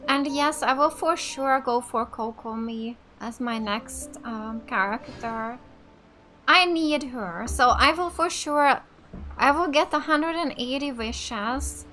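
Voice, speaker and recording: A woman's voice: high voice, slow pace, bright, forceful tone, soft background music. A woman from Finland giving chatty, enthusiastic casual let's play commentary as a gaming host. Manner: chatty, enthusiastic about the game.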